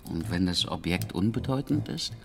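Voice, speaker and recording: Tiefer, knarrender, lebensmüder Ehemann. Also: male voice, sits low, lively intonation, moderate pace, gravelly. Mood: cautious. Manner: hesitant, brooding. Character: despondent, hesitant betrayed husband, world-weary. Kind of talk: German radio drama dialogue and inner monologue.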